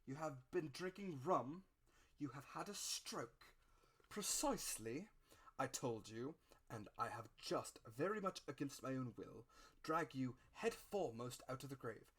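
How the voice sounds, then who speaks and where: Country doctor for a storybook, acted by a male reader: brisk, authoritative, scornful, blunt and emphatic. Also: medium pitch, lively intonation, fairly bright tone, breathy edge. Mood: stern.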